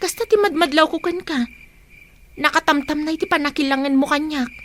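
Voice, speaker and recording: A woman's voice: high voice, fairly quick, fairly bright tone, a little hiss or hum. A woman with a Filipino accent playing a caring but anxious, suspicious young pregnant wife in a radio drama, with a plaintive, questioning way of talking. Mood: hurt, suspicious. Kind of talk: Ilocano radio drama acting. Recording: music behind the dialogue.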